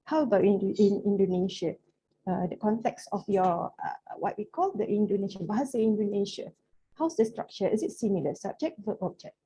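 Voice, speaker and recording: A woman's voice: mid-range voice, brisk, mellow, breathy edge, poor audio. A Malaysian woman whose first language is Malay, giving an academic talk in English and often checking in with the audience. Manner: conversational, checks in with "right?". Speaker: lecturer. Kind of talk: academic presentation.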